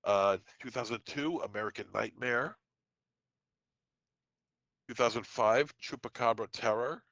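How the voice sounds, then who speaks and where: A man with a head cold co-hosting a podcast, reading dates and film titles with quick offhand asides. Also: mid-range voice, fairly bright tone, no echo, dull audio. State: congested from a cold. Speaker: podcast co-host. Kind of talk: casual podcast segment reading trivia.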